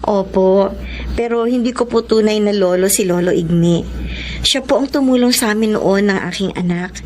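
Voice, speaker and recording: A woman's voice: medium pitch, normal speed, darkish tone, a little hiss or hum, lightly acted. An actress playing a humble, grateful young single mother in a Filipino radio drama, speaking politely and earnestly. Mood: polite, gentle.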